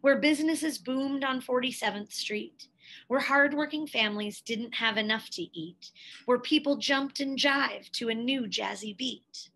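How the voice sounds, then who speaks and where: A woman reading a picture book aloud expressively, in a measured way with dramatic pauses and emphasis. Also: a little high, sharp tone, low-quality recording. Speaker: read-aloud storyteller, teacher.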